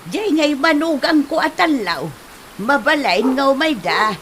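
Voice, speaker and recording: A woman's voice: high-pitched, lively intonation, a little slow, fairly bright tone, a little hiss or hum. A woman playing a chatty, pushy grandmother neighbour in an Ilocano comedy drama, with an Ilocano accent. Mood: eager, cheerful.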